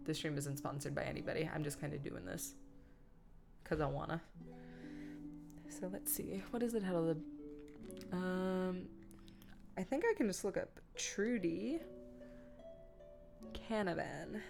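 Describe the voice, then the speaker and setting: A woman hosts a relaxed livestream in an unhurried, slow delivery, her voice slightly on the low side. She is silly and self-deprecating, drifting between chatting to viewers and muttering to herself as she works. Music with plucked guitar plays clearly behind her. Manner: playful, silly, self-mocking asides; mutters and hums to herself while working. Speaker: streamer and host. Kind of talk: casual livestream chat while editing. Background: background guitar music.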